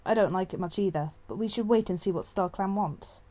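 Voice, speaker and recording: A woman's voice: medium pitch, fairly quick, mellow, natural voice. A woman voicing a blunt, sceptical female warrior cat in an audiobook, matter-of-fact and challenging.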